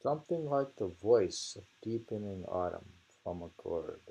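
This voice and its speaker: An older man reads poems and literary commentary aloud, haltingly, pausing to murmur and muse between lines. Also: low voice, animated, gravelly, dark tone, slightly rough, lo-fi sound. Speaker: reader of haiku and commentary. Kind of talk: reading poems and literary criticism aloud with informal asides.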